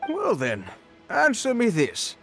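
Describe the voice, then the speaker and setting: A man's voice: extremely high pitch, huge pitch swings, slow pace, sharp tone, soft background music, exaggerated voice. An actor playing a pedantic, devout scholar in a video game, challenging and sceptical in his delivery.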